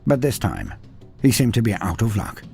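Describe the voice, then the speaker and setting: A man reading a scripted story aloud with dramatic, expressive delivery. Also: lowish voice, normal speed, gravelly, soft background music. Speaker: narrator.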